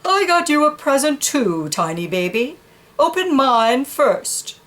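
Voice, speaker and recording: A woman reading a children's picture book, voicing a big, vain, condescending horse with teasing, drawn-out baby talk. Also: high-pitched, very animated, fairly bright tone, pitched above the performer's own voice, full character voice. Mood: cheery, coaxing.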